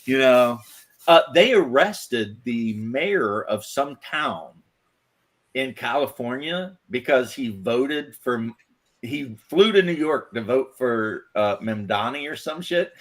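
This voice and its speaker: A man's voice: mid-range voice, fairly bright tone. A man in a casual group chat, telling stories in a laid-back, wry way.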